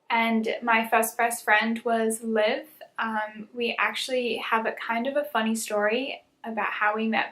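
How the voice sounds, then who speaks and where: A woman's voice: a little high, a bit bright. A woman in her twenties from South Africa, chatting casually on her channel, with frequent laughs and fillers. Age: 20s. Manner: chatty, laughs often, many "um"s and "like"s. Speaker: YouTuber. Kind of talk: casual get-to-know-me chat. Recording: echoey room.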